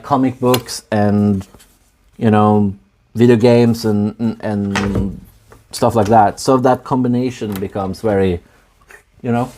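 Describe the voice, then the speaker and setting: Norwegian-accented male streamer, unhurried and rambling, mid-pitched, with a noisy hum in the room. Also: darkish tone. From Norway. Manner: rambling, halting, many fillers and restarts. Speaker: woodworking streamer. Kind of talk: casual live-stream chat.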